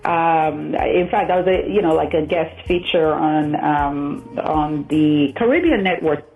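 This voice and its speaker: A woman's voice: low voice, a little slow. A woman from Nigeria, a matchmaker, chatting as a guest in a radio interview and laughing often. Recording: tinny phone line.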